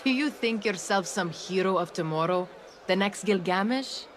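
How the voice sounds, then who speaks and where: A woman's voice: mid-range voice, normal speed, a little hiss or hum, natural voice. An actress playing a sardonic young female thief in a video game, blunt and teasing but loyal. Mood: dry, mocking. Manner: dry, teasing. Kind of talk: cinematic video game acting.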